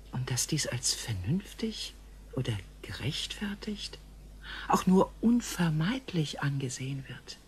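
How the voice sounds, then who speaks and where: A woman playing a grave, gentle female alien visitor in a science fiction radio play, speaking in formal, measured phrases. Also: low voice, breathy edge, exaggerated voice.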